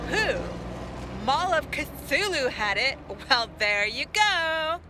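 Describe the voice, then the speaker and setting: A woman's voice: sits high, sing-song, slow pace, background noise. A woman giving casual live commentary, reacting to a drama.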